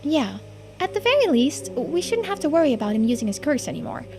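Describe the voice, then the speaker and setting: Very high, soft-toned woman acting game lines. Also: big pitch swings, a little fast, creaky, a little hiss or hum. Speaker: streamer voicing a game playthrough. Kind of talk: acted read-aloud of game dialogue.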